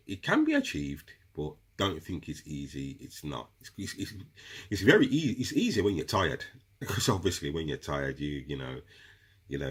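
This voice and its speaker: Very deep husky man with a cold. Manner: chatty, addresses viewers as guys. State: has a cold, runny nose. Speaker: crystal seller explaining meditation. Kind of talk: casual instructional video talk.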